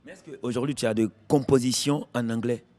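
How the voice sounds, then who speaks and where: A man hosts a television music interview in a Guinean accent. His voice sits at a middle pitch. He speaks in declamatory, repeated phrases, turning between his guest and the viewers. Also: steady tone, a little fast, a little mellow. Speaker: TV show host. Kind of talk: interviewing a music artist on a TV show. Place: at the artist's home.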